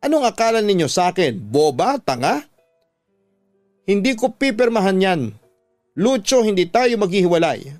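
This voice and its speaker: Betrayed wife for radio drama, played by a man: anguished, defiant, emphatic accusations, higher-pitched, over a music bed. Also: big pitch swings, normal speed, pauses often, a little mellow, no echo. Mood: furious, defiant.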